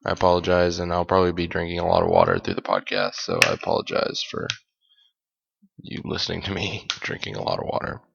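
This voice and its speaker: A very low, gravelly male voice, hoarse and a bit worn, talking loosely through a solo podcast. He rambles, stops to fix his own words and drops small jokes. The sound is dull and lo-fi, like a simple home setup. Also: fast, fairly bright tone. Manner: rambling and self-correcting, with light jokes. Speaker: podcast host. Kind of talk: casual solo podcast talk.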